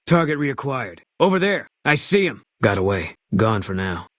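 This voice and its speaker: An actor playing a gruff, terse ex-army sniper in a video game, grim and guarded, with a husky voice. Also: male voice, big pitch swings, normal speed, dry recording, studio quality, lightly acted. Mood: alert, guarded. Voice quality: low, husky. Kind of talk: video game voice acting, narration and combat barks.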